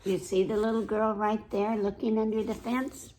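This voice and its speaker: A woman's voice: medium pitch, little pitch movement, moderate pace, darkish tone, a little hiss or hum, low-quality recording. A woman hosting a children's story time, reading aloud and chatting directly to the young listeners with questions.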